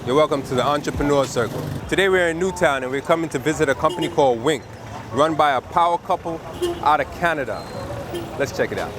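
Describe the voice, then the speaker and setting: A man's voice: fairly high pitch, fast. A man hosting a casual interview, asking questions in colloquial language with brief interjections. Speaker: host, interviewer. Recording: clear background noise, ambience.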